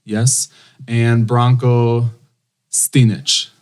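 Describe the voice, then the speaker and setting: Podcast host, male, middle pitch, friendly, steers with short questions and lots of "okay". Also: level tone, drawn-out words, a little mellow. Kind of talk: casual interview chat.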